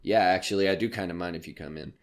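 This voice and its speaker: A man's voice: sits low, quick pace, slight background noise, close to an everyday voice. A man playing a jaded, foul-mouthed nightclub comedian in an audio drama, brash, curt and grumbling. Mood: irritated, guarded.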